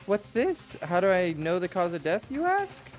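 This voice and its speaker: A woman's voice: on the low side, sing-song, moderate pace, darkish tone, faint music behind. A woman voicing a smug, mocking, murderous robot teddy bear mascot from a visual novel, mock-cheerful and taunting. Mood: explanatory. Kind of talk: amateur dramatic reading of a visual novel.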